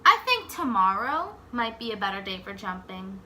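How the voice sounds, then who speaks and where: A woman's voice: mid-range voice, very animated, moderate pace, pushed and bright, slight background noise. A woman voicing a little boy in a storybook, with an eager, slightly hesitant manner. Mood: making excuses. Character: nervous little boy putting off a brave jump. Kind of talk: storybook character voice.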